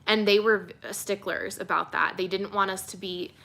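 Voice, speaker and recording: A young woman TV reporter, relaxed and soothing, answering viewer questions in a casual livestream with many fillers. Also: medium pitch, normal speed, creaky. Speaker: TV news reporter.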